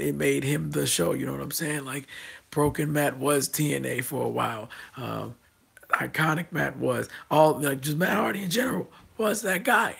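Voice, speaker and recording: A man who has lost his voice, talking through casual wrestling predictions with a hoarse, raspy voice and many ums, restarts and throat clearing. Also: a little high, fairly bright tone. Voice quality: raspy, gravelly. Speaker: wrestling vlogger.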